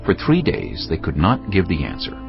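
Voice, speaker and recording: A deep, gravelly man reads scripture as a dramatic narrator. His delivery rises and falls expressively over prominent music. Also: huge pitch swings, normal speed. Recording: dull lo-fi audio with music.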